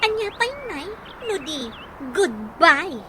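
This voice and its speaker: A woman's voice: high-pitched, sing-song, takes it slowly, exaggerated voice. An actress playing an ambitious, scheming young woman in radio drama style, with an Ilocano accent. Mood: calculating.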